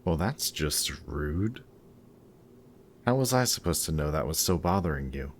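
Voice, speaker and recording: A man's voice: rumbling low, expressive, a little slow, slight background noise, full character voice. A man acting a sarcastic, guarded villain in an audio roleplay, with a snarky, casual delivery that grudgingly shows care. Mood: dry, exasperated. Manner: snarky, dry, casual teasing.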